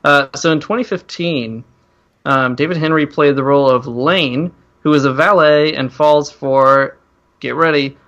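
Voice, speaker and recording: A man's voice: a little high, measured pace, fairly bright tone. A man hosting a podcast, chatting casually and jokingly about movies over a slightly dull, lo-fi recording. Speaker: podcast host. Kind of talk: casual joking film chat.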